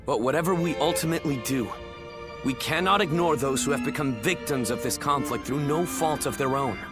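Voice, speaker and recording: An actor playing a noble, dutiful young lord of an ancient kingdom in a game cutscene, formal and considerate. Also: male voice, mid-range voice, a little fast, lightly acted. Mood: resolute. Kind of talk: video game cutscene acting. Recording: loud orchestral music behind the voice.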